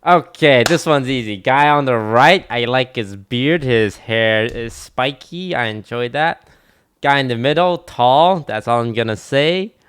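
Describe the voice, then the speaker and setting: A man's voice: medium pitch, unhurried, fairly bright tone, clean tone. A man streaming, reacting to a video with dry, blunt, teasing remarks. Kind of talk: casual live-stream commentary.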